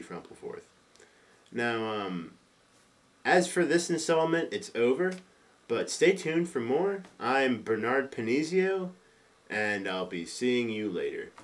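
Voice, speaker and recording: A man playing a flustered, easily offended radio personality in a comedic audio play, stammering and incredulous as he interviews a guest. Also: mid-range voice. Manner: stammering, incredulous, primly scolding.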